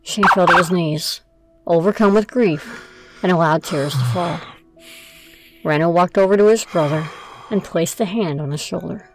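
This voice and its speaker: A woman's voice: fairly low pitch, normal speed, long pauses, faint music behind, rough recording. A woman narrating a scripted audio drama as its storyteller.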